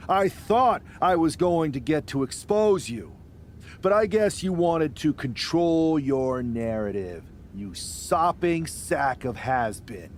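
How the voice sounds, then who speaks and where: An actor playing a villainous wrestler and rebel faction leader, mocking, power-hungry and theatrical, in a video game cutscene. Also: male voice, fairly high pitch, lively intonation, a little hiss or hum. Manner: theatrical, taunting, deliberate.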